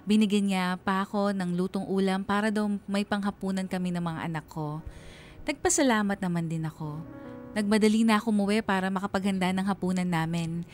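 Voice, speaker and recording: A woman performs a middle-aged Filipina single mother telling her story in a radio drama. The voice is medium in pitch, earnest, weary and reflective. Soft music plays underneath. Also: brisk, lightly acted. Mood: reflective, grateful. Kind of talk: confessional radio drama narration.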